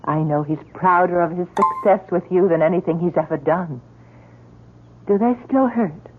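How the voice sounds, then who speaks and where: An actress playing a gentle, dutiful young woman in an old-time radio drama, tender yet guarded. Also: fairly low pitch, moderate pace, soft background music, lightly acted. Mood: tender, concerned. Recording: narrow, tinny vintage radio recording.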